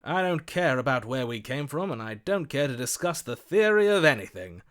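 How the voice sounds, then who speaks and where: A man's voice: medium pitch, very animated, normal speed, a bit bright. A man playing a florid, passionate, lovestruck romantic in a video game, speaking in a theatrical, grandiloquent manner. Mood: passionate, defiant. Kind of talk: video game character acting.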